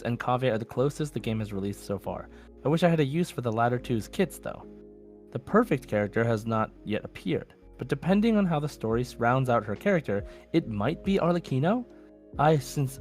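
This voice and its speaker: A man with a mid-pitched voice co-hosts a casual podcast. He chats informally and reads listener messages aloud, with music faintly audible behind him. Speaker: podcast co-host.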